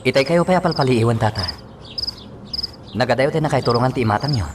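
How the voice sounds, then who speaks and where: A man playing a caring younger man, gentle and respectful toward an elder, in a radio drama. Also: mid-range voice, expressive, fast talker, very noisy, lightly acted. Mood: concerned. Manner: gentle, concerned.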